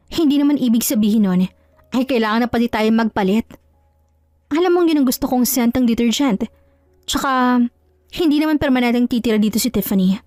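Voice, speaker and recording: A woman's voice: fairly high pitch, darkish tone, dry recording, lightly acted. A woman playing a scheming, jealous wife in an audio drama, sarcastic and coolly composed as she feigns innocence.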